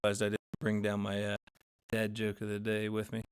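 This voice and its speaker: A man hosting a casual market recap, talking through trades in a rambling, conversational way with lots of fillers while his voice grows hoarse. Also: fairly low pitch, completely flat delivery, a little fast. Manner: conversational, rambling, many fillers and self-corrections. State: voice getting hoarse, losing his voice. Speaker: finance channel host.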